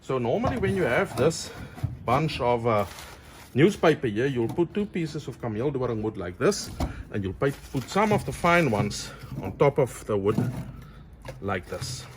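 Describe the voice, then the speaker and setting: A middle-aged South African man with an Afrikaans accent talks to the camera in a chatty, friendly way, calling his viewers friends. His voice sits at an ordinary middle pitch. He is recorded outdoors, with a faint hiss of open air behind him. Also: big pitch swings, unhurried. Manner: chatty, warm storyteller addressing viewers as friends. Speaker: cooking vlogger. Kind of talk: friendly outdoor cooking tutorial. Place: outdoors at a braai fire.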